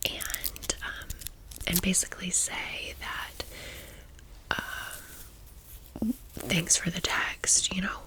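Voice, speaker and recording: A woman's voice: fairly low pitch, rough recording. A woman from Tennessee in her thirties whispering in a chatty, confiding way as an ASMR storytime host. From Tennessee, United States. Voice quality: breathy whisper.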